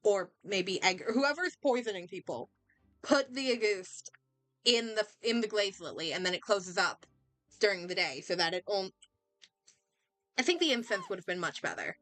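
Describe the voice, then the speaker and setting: A woman's voice: medium pitch, expressive, moderate pace, a bit bright, soft background music. A woman game streamer giving casual commentary and reading dialogue aloud into a close microphone.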